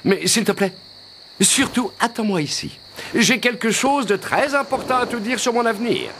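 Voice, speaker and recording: An actor voicing an earnest, affectionate young prince in an animated fairy tale, with a tender, theatrical delivery. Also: male voice, high-pitched, moderate pace, background noise. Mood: eager. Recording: music under the dialogue.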